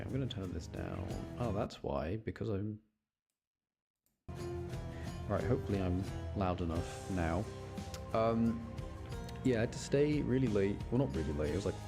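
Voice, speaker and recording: A man chatting casually on a game livestream, rambling along and addressing his viewers. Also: lowish voice. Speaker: streamer. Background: game music.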